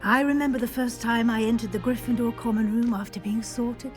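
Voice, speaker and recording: An actress playing a middle-aged British deputy headmistress in a fantasy game, courteous, formal, brisk and kindly. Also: female voice, a little high, steady tone, faint music behind, close to an everyday voice. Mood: welcoming.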